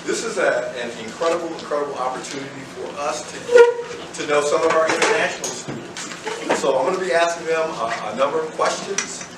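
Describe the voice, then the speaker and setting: A man moderating a student panel, who talks haltingly with many fillers and restarts. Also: on the high side, animated. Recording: echoey room from far off, loud noise.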